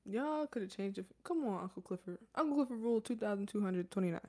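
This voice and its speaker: Animated woman chatting casually. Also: mid-range voice, fast talker. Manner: animated, jokey, laughs often. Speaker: podcast co-host. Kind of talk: casual chat.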